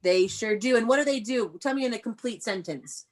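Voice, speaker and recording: A woman with an American accent and a fairly high voice leads an elementary read-aloud lesson on a video call. She is encouraging, prompts with questions and praises the answers. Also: fairly quick. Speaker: elementary school teacher.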